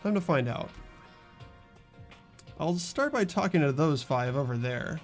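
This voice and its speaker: A man's voice: on the high side, animated, unhurried. A man voicing a bewildered, earnest teenage boy student in a game, hesitant and puzzled, with music behind. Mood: unsure.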